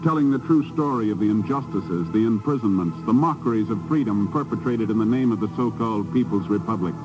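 Actor as grave spy chief, music behind. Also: male voice, on the low side, a little fast, natural voice, poor audio. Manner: grave, emphatic. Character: grave male intelligence chief briefing his agent. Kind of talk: 1950s radio drama acting. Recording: vintage 1950s radio recording.

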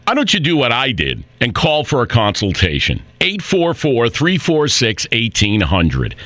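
A man's voice: medium pitch, wildly swooping pitch, measured pace, fairly bright tone, dry recording. A man giving a customer testimonial in a radio ad, talking in a conversational, persuasive way.

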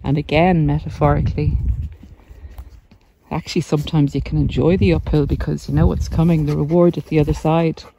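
A woman's voice: low voice, steady tone, brisk, creaky, noisy background. A middle-aged woman giving an emphatic, encouraging motivational talk to viewers, informally, like a coach out on a walk. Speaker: coach and vlogger. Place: outdoors on a hillside walking trail.

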